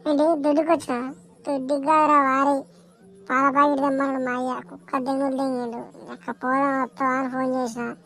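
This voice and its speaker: A woman's voice: high-pitched, flat delivery, fast, noisy background, close to an everyday voice. A woman voicing a whiny, crude and defiant young village son in an amateur cartoon comedy, with a Telangana Telugu accent. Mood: pestering.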